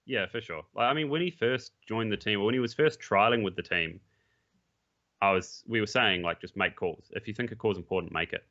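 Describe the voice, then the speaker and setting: Pro gamer guy on a podcast, deep but forceful voice, blunt and casual, muffled lo-fi mic. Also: brisk. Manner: relaxed and blunt, with frequent restarts and the odd swear. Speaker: pro esports player guest.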